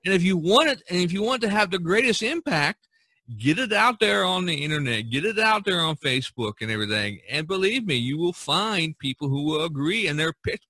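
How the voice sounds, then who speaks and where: A man's voice: high-pitched, sharp tone, breathy edge, dry recording. A man hosting a casual podcast discussion, speaking emphatically and repeating phrases for stress. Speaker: podcast host.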